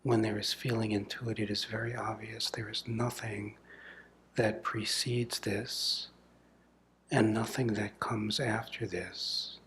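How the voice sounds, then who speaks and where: A man leading a guided meditation as a spiritual teacher, speaking softly and deliberately in measured phrases with long pauses, often breathy and trailing toward a whisper. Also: on the low side, flat delivery, a little hiss or hum. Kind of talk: guided meditation, contemplative satsang talk.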